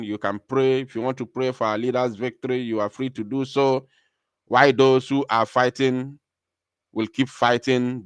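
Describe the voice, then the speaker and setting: Smooth-voiced Nigerian man hosting a live broadcast. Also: mid-range voice, no echo. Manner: emphatic, repeats phrases for stress. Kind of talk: live political call-in broadcast, news commentary.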